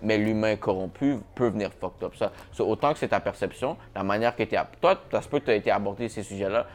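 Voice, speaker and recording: A man's voice: fairly low pitch, quick pace. A young man hosting a casual podcast chat, laid-back and dropping English slang into his talk. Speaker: podcast host. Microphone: shared podcast mic.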